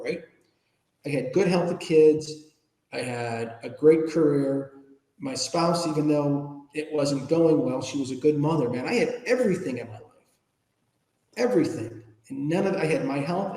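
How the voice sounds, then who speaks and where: A man in his fifties or older telling personal stories conversationally as an interview guest, informal and animated, often asking rhetorical questions. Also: on the high side. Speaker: interview guest, public speaker. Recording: echoey room with long reverb.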